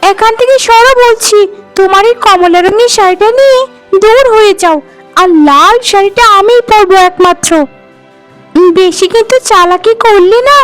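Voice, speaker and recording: A woman's voice: very high voice, moderate pace, faint music behind, low-quality recording. A woman playing a vain, quarrelsome young second wife in a cartoon, scolding and boasting. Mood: scornful, boastful. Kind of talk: cartoon dialogue.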